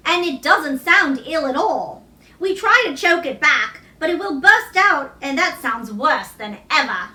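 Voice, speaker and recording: A woman voicing an earnest, excitable young boy in a children's storybook, with an eager, wondering way of talking. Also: high-pitched, a little slow, full character voice. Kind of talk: children's storybook read-aloud. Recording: roomy echo, distant microphone.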